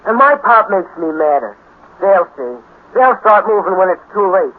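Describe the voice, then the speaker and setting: A man's voice: high voice, sing-song, normal speed. A man acting a nervous, wisecracking boy sidekick in a 1950s radio drama, plaintive and comically glum. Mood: indignant. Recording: tinny 1950s radio recording.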